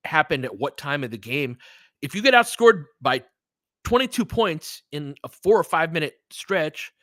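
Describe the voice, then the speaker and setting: Man, sports stream host, bright voice, dry sound, casual play-by-play and analysis, conversational, mildly profane. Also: on the high side, no echo. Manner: conversational, chatting back to listeners, mildly profane.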